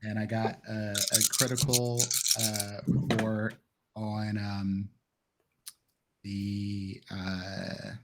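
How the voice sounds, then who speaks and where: A man's voice: fairly low pitch, flat delivery, drawn-out words, slight background noise, rough recording. A man playing in a tabletop roleplay game, chatting casually as he plays.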